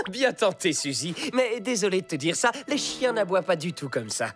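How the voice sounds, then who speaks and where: An actor voicing an excitable, boastful male fox in a French children's cartoon, theatrical in delivery. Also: mid-range voice, normal speed. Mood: smug. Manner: theatrical, prone to panic. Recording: loud background music under the voice.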